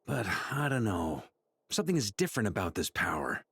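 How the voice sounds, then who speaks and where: A man voicing a steady, protective adult male knight who speaks frankly and encouragingly in video game dialogue. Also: on the low side, fairly quick, creaky, breathy edge, no room sound, full character voice. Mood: serious.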